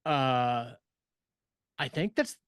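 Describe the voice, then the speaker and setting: A man co-hosting a podcast in casual chat, thinking aloud in a halting, tentative way. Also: medium pitch, animated, clear, resonant tone, no room sound, studio quality. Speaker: podcast co-host, science fiction author. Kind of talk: casual chat about writing craft.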